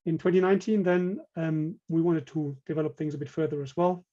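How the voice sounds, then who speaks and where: A man with a German accent presents a technical webinar, pausing often on fillers and restarting his sentences. His voice sits fairly high with a mellow, warm tone. The audio is a little dull and lo-fi. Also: fairly even intonation. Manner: hesitant, many ums and restarts. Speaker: company director presenting.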